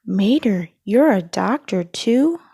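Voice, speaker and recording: A woman voicing an excitable, doubting male race car in a cartoon storybook, exclaiming in disbelief. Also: mid-range voice, slow pace, husky, dry recording, studio quality, heavily acted. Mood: bewildered. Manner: incredulous, exclaiming.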